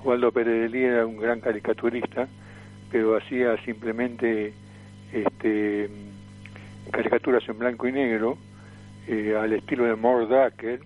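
An elderly man with an Argentine accent reminiscing as a radio guest over the phone, in a halting way that repeats phrases. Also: mid-range voice, little pitch movement, soft background music. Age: 70s or older. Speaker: interview guest, veteran caricaturist and actor. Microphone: telephone. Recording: tinny, noisy phone line.

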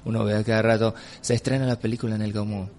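A man with a Venezuelan accent, an interview guest explaining his views emphatically and sometimes pausing to find words. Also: fairly low pitch, level tone, darkish tone. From Caracas, Venezuela. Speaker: film festival director, interview guest. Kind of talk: spontaneous radio interview, opinionated explanation. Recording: clearly audible background noise.

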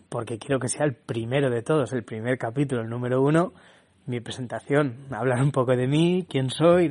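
A man's voice: medium pitch, darkish tone. A young man from Barcelona with a Barcelona Spanish accent, hosting a casual solo podcast, chatty and laughing often as he restarts his words. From Spain. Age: young adult. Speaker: podcast host.

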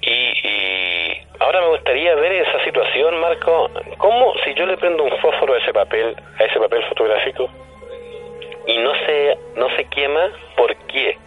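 A man's voice: medium pitch, expressive, normal speed, faint music behind. Chilean man on a tinny phone line. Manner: questioning, reasoning aloud. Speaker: radio guest commentator. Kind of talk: casual radio discussion. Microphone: telephone.

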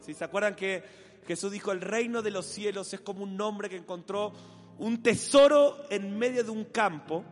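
A man with a Rioplatense Spanish accent preaching a sermon, animated and playful, acting out voices in an imagined dialogue. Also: sits high, moderate pace. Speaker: pastor. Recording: long hall reverb with faint music.

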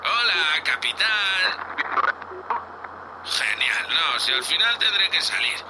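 A man playing an eager, cheeky young spaceship crewman in an audio drama, chatty and excitable. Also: a little high, slow pace, noisy background. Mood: anxious. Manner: excitable, chatty, playfully impudent. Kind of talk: audio fiction acting.

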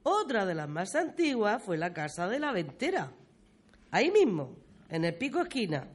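A woman's voice: medium pitch, big pitch swings, moderate pace, breathy edge. A woman playing a proud village grandmother reminiscing in a radio play, with a Murcian accent and a folksy, exclamatory way of talking. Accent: Murcian Spanish. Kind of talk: radio play storytelling dialogue.

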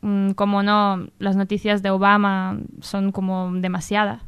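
Clear-voiced woman, close and dry. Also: medium pitch, monotone, a little slow, no room sound, dull audio. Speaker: radio show news contributor. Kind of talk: informal news chat.

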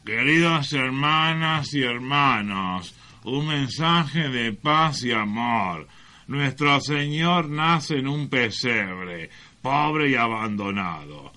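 Slow, forceful preaching friar, played by a man. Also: mid-range voice, animated. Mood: solemn, unctuous. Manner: solemn, deliberate, preachy. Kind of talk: parody sermon, radio sketch.

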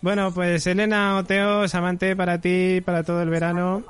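A man's voice: sits high, flat delivery, normal speed, clean tone. A man hosting a casual chat, teasing the guests with jokey banter. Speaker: host.

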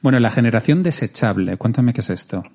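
Male host, Madrid accent, warm dark voice, cheeky, playful, slangy, casual talk. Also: medium pitch, animated, fast talker, creaky. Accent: Madrid Spanish. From Spain. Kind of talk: casual interview chat.

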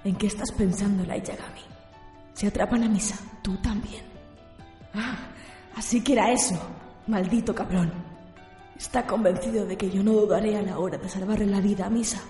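A woman playing a cold, brooding, protective death god in a radio drama, speaking in a measured, solemn way. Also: medium pitch, fairly even intonation, warm tone, lightly acted. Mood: brooding, bitter. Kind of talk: radio drama adaptation of anime. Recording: long cavernous reverb, music behind.

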